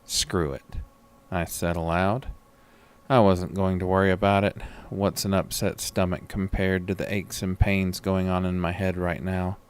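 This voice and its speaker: A man's voice: low voice, level tone, moderate pace, smooth. A man narrating a first-person horror story from a script.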